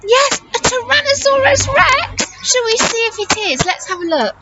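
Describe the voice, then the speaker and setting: A woman's voice: very high-pitched, expressive, normal speed, lo-fi sound, very noisy. A woman teacher reading a picture book aloud to young children, expressively, stopping to explain and ask them questions. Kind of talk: animated children's storytime read-aloud with questions to listeners.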